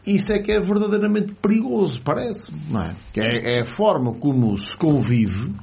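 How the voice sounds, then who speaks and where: Man, European Portuguese accent, rambling ironic talk, raspy, very animated pitch. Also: normal speed. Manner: rambling, many fillers and restarts, ironic. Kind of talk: casual political chat.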